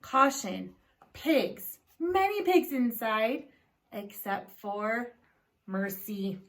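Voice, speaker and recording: A woman reading a picture book aloud to children in a deliberate storytelling style, pausing to put questions to her young listeners. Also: fairly high pitch, expressive, a bit bright.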